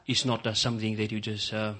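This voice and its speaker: A man whose first language is Fijian preaches in English, in short phrases that leave room for an interpreter. His voice sits a little low, and his delivery is broken up by fillers. He rises to loud exclamations of praise. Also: level tone, brisk. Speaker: guest preacher. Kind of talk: sermon in English with live interpretation.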